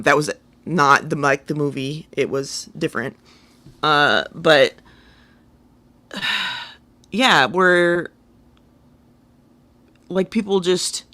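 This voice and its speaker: Podcast guy, sharp bright voice a bit high, casual and tentative, really dry sound. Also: no echo. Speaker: podcast co-host. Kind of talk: casual discussion and review.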